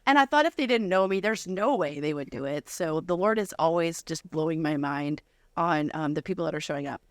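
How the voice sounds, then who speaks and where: Effusive woman, clear and dry. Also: sits low, expressive, fairly quick, dry recording. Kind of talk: casual, conversational interview talk.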